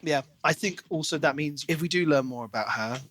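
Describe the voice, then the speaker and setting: A man's voice: on the high side, brisk, a bit bright. A man co-hosting a podcast, talking casually and analytically through his thoughts on a book.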